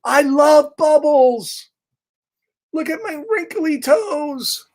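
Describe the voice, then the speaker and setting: A man voicing a fussy, excitable male pigeon in a children's picture book, exclamatory and playful. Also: extremely high pitch, very slow, voice pushed up, heavily acted. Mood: delighted.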